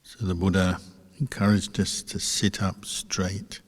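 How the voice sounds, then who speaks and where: A man, a Buddhist monk, guiding a meditation calmly and without hurry, with a husky voice and long pauses between short phrases. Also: deep voice. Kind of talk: guided meditation instruction. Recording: reverberant hall, long echo.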